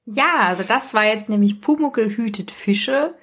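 A woman's voice: a little high, expressive, unhurried, fairly bright tone, slight background noise. A woman in casual podcast conversation, talking informally and personally. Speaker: podcast guest or co-host.

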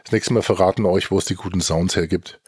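Give this voice to a low-pitched man hosting a podcast. He banters casually and jokingly, often breaking off mid-sentence to restart. The sound is very dry, with no room around it. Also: fairly even intonation, a little mellow, husky, dry recording. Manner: halting, self-interrupting, jokey banter. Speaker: podcast host.

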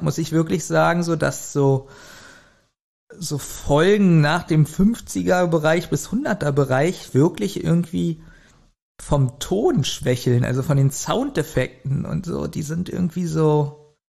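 A man's voice: fairly high pitch, a little slow, creaky, darkish tone. A man, speaking casually as a podcast co-host giving a review.

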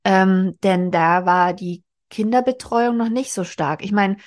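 A woman's voice: mid-range voice, unhurried. A woman in her forties hosting a book podcast, explaining casually as she talks with a co-host and thinks aloud. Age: 40s. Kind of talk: casual book discussion between co-hosts.

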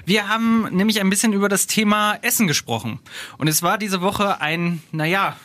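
A young man co-hosting a radio talk show, easygoing and hesitant, with many small pauses. His voice is high for a man and has a bright, forceful edge. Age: young adult. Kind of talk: casual chat.

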